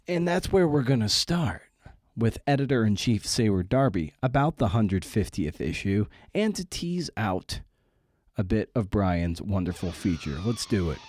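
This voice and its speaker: Podcast host guy, low relaxed voice, super dry close sound, kinda offbeat and informal. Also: no room sound. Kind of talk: casual podcast intro and interview.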